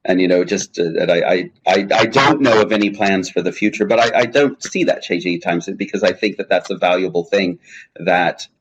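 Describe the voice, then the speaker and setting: A man's voice: low voice, a little fast, slightly rough, dull audio. A man speaking as a guest in a webinar, answering questions in a chatty, jokey way with many restarts. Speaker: guest speaker on language testing.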